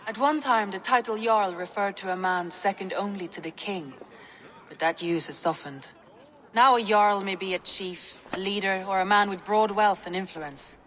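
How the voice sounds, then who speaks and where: A woman's voice: mid-range voice, moderate pace, fairly bright tone, lightly acted. Woman as a scholarly Viking in a hall. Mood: thoughtful. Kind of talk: video game cutscene acting. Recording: reverberant hall with music behind.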